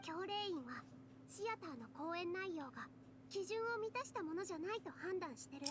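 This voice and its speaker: A woman's voice: very high-pitched, expressive, very fast, noisy background. An actress playing an earnest, determined young woman in an anime game, arguing in Japanese in a reasoned way. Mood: earnest, firm. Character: earnest, determined young female theater performer.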